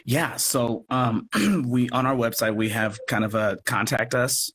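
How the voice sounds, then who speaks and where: A man chatting casually as an interview guest, expressive and thinking aloud as he explains his work. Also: mid-range voice, measured pace, slightly rough. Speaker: radio play producer and guest. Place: remote live video chat.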